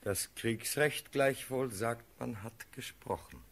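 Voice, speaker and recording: A loyal, worried confidant of a prince in classical verse radio drama. The voice is husky and medium in pitch. He speaks gravely and earnestly, with measured urgency. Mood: concerned. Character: grave, anxious loyal male friend of the prince.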